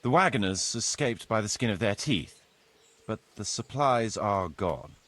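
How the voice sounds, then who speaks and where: An actor playing a grave, pessimistic medieval steward who speaks formally in a video game. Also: male voice, lowish voice, animated, unhurried, a bit bright. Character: male medieval steward bringing counsel, gloomy and pessimistic. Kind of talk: video game cutscene acting.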